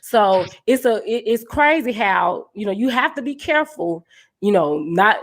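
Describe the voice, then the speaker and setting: A woman with a deep voice, hosting a casual podcast chat and speaking in an earnest, encouraging way as a dance team leader. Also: expressive, moderate pace.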